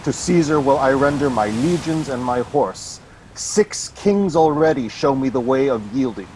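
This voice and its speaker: An amateur actor reading a resigned army commander in a Shakespeare play. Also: male voice, animated, normal speed, warm tone, lightly acted, poor audio. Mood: resigned, matter-of-fact. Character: resigned male army commander turning deserter. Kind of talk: amateur Shakespeare read-aloud. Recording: video call with faint rumbling noise.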